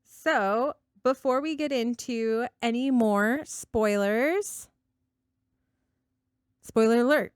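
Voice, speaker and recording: A woman's voice: a little high, takes it slowly, pauses often, smooth, no room sound, studio quality. A woman co-hosting a casual book podcast, speaking in an enthusiastic, effusive way. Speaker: podcast co-host.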